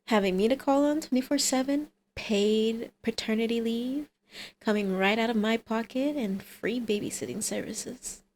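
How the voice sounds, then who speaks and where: A woman's voice: a little high, normal speed, a little mellow, a little hiss or hum, lightly acted. A woman playing a flirty female villain and doting mother in an ASMR roleplay, with a teasing, coaxing, sardonic manner. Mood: teasing, insistent.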